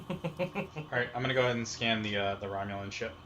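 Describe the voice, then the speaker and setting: A man's voice: medium pitch, expressive, brisk, a bit bright. A man talking casually as a player in a tabletop role-playing game. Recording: dull lo-fi sound, slight noise.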